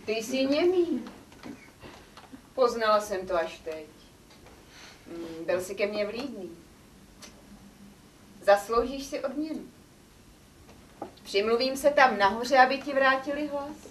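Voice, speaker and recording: Woman acting a lofty stage lady, really high voice, theatrical, echoey room w faint noise. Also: animated, long pauses, recorded from a distance, lightly acted. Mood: gracious, benevolent. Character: gracious priestess; later haughty, mocking woman.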